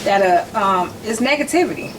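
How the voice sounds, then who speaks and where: A woman's voice: medium pitch, moderate pace. Woman in her forties, distant and noisy. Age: 40s. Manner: relaxed, conversational, speaks in short phrases. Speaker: podcast guest, caterer. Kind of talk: casual chat.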